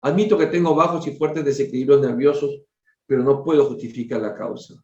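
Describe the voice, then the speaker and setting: A man's voice: mid-range voice, a little fast, warm tone, recorded from a distance, natural voice. A man reading the part of a troubled, weary father in a spiritual storybook, earnest and formal. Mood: anguished. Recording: dull, roomy lo-fi recording.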